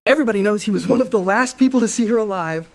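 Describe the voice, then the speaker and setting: An actress playing a spiteful, gossipy middle-aged wife in a crime radio play, scornful and sarcastic. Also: female voice, medium pitch, rapid-fire, close to an everyday voice. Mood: scornful, accusing.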